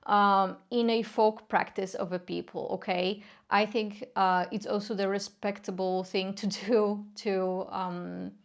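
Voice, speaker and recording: Earnest Polish woman explaining, casual. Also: medium pitch. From Poland. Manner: earnest, frequent filler sounds. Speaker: folk magic educator. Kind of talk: casual explanatory talk to camera.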